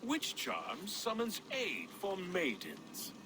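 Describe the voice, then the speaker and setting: Slow, swooping mystic herbalist. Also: male voice, high voice, wildly swooping pitch, noisy background, exaggerated voice. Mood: mysterious, testing. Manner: slow, theatrical, ritual phrasing. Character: whimsical superstitious rustic herbalist, speaking in riddles. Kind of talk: dramatic video game acting.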